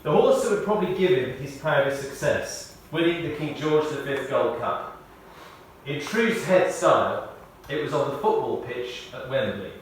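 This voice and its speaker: Male eulogist, measured and drily humorous storyteller, reading a tribute in a very reverberant church, off-mic with faint noise. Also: a little high, lively intonation, recorded from a distance. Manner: measured storytelling with dry humour. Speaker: eulogist at a memorial service. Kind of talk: prepared spoken tribute, read aloud. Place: speaking in a large church.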